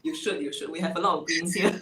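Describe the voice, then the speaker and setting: Casting: low-pitched malaysian woman, chatty interview guest, echoey room with faint ambient hum. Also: big pitch swings, quick pace. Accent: Malaysian English. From Malaysia (Sarawak). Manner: chatty, laughs between phrases. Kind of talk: casual interview chat. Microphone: video call.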